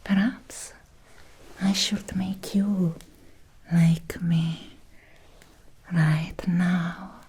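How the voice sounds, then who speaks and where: A woman playing an obsessed, seductive Victorian vampire in an ASMR roleplay, whispering softly and slowly in an intimate, breathy voice. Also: on the low side, little pitch movement, full character voice. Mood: possessive. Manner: slow, intimate, deliberate with long pauses. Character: obsessed, seductive Victorian female vampire, hungry yet restrained.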